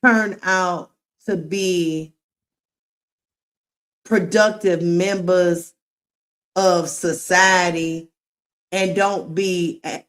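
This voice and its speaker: Slow-talking woman livestreamer. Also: fairly low pitch. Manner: slow, drawn-out talk, addressing viewers directly. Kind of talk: casual livestream commentary to chat.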